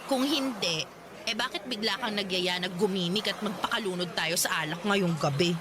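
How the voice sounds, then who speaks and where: A woman's voice: mid-range voice, normal speed, natural voice. An actress playing a blunt, meddling young female friend in a radio drama, teasing and pushy. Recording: clear background noise.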